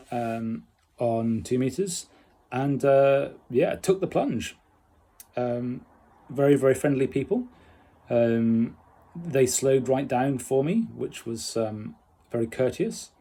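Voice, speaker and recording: A man talking casually and unscripted as in a personal video diary, halting and reflective with long pauses, in a husky voice. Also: medium pitch, mellow. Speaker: amateur radio learner recording a progress diary.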